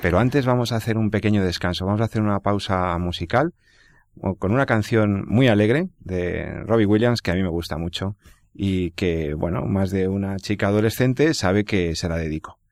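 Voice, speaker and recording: A man hosting a radio program from a studio, formal and measured. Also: on the low side, expressive, darkish tone, dry recording. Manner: formal, measured presenter with some restarts. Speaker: radio host.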